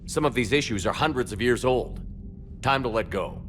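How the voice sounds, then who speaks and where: An actor playing a resolute human military commander in a video game, speaking in a firm, measured way. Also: male voice, medium pitch, a bit bright, soft background music, lightly acted. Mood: persuasive. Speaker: player character military commander.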